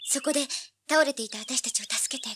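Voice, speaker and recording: An actress playing a plucky, emotional young girl in an audio drama, girlish, earnest and expressive. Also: high voice, brisk, background noise, close to an everyday voice. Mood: soft, relieved. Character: plucky, emotional young girl, the hero's treasure-hunting partner. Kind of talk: 1980s audio drama acting.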